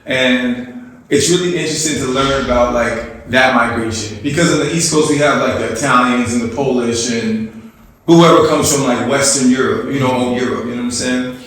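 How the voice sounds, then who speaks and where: A man's voice: mid-range voice, normal speed, fairly bright tone. A man with a mid-range voice hosts a casual interview, thinking aloud with restarts and repeated "you know what I'm saying?". He sounds heavily echoey, as if recorded some distance from the mic in a bare room. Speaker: podcast host.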